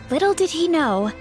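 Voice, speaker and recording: An actress playing a sweet, earnest young woman in a video game, speaking gently with slight hesitation. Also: high-pitched, heavily acted. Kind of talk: English video game voice acting.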